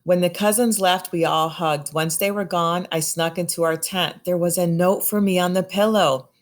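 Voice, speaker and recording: Low-voiced woman reading a children's storybook. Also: steady tone, moderate pace. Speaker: storybook reader. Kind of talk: expressive children's picture book read-aloud.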